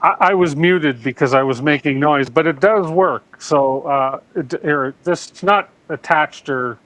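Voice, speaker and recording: A man on a podcast panel, explaining slowly and haltingly with restarts. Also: on the high side, a bit bright, no room sound, rough recording. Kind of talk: casual chat.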